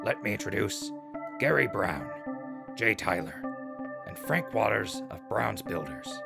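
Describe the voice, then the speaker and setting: An actor playing a folksy, upbeat radio host and newsreader in a post-apocalyptic settlement drama, chatty and theatrical. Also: male voice, lowish voice, sing-song, measured pace, gravelly, loud music behind the voice, heavily acted. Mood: cheerful, welcoming. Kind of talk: radio drama news broadcast.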